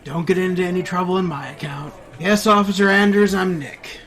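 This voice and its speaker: A man playing a gentle, resigned good soul in an audio drama, calm and reassuring. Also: sits high, a little fast, soft background music. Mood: resigned, soothing. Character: gentle, resigned kindly man facing arrest. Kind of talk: scripted audio drama acting.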